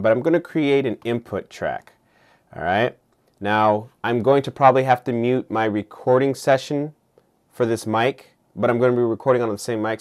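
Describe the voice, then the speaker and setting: A young man giving an informal hands-on tutorial, explaining step by step and often checking in with the listener. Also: mid-range voice. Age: young adult. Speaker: tutorial host and musician. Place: small home studio, indoors.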